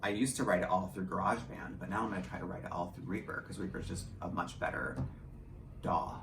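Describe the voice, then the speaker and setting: Roomy, distant-sounding American man, lower voice. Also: fairly even intonation, quick pace, creaky. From rural Michigan, US. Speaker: audio drama writer, producer and drag performer. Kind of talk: casual interview talk. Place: indoor home studio. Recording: roomy, distant mic, faint background noise.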